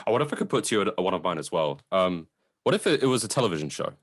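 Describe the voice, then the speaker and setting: Male co-host, lowish voice, very lively intonation, effusive casual talk. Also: rapid-fire, no room sound, studio quality. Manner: enthusiastic, effusive. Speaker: podcast co-host. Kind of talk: casual film discussion chat.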